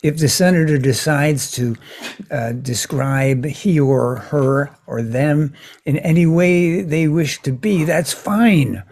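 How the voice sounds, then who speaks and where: Improv comedy senator, male performer: slippery schemer, hesitant stammer, soft-edged husky tone. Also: a little high, slow pace. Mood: persuasive, scheming. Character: scheming, evasive male senator pushing an absurd bill.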